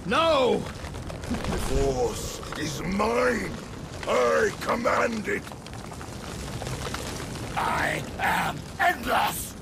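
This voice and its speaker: A man playing a raging, power-mad Sith Emperor in a video game, grandiose and drawn-out, with a harsh voice. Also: sits high, huge pitch swings, creaky, noisy background, full character voice. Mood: desperate fury. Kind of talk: video game villain acting.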